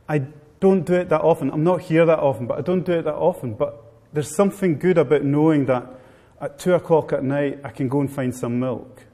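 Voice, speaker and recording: A man's voice: fairly high pitch, warm tone. A middle-aged man with an Italian accent, giving a wry, informal talk to a live seminar audience. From Italy. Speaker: guest speaker. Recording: long hall reverb.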